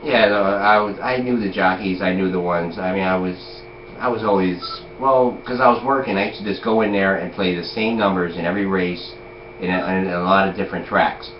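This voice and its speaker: A man's voice: low-pitched, steady tone, brisk. A man in his forties chatting casually, rambling and full of "you know" and "like". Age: 40s. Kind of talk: casual chat. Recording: echoey lo-fi room with noise.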